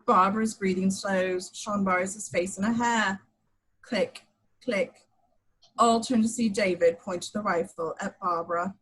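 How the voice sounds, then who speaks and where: A woman's voice: medium pitch, fairly even intonation, measured pace, a bit bright, breathy edge, poor audio. A woman reading scripted action description as narrator in an amateur table read of a screenplay.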